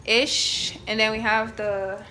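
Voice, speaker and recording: A woman in her twenties chatting casually for a vlog with friends, giggly and excitable, recorded on a phone. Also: a little high, takes it slowly, crowd noise. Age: 20s. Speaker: vlogger. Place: moving car and rental house rooms. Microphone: phone at arm's length. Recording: echoey room with music behind.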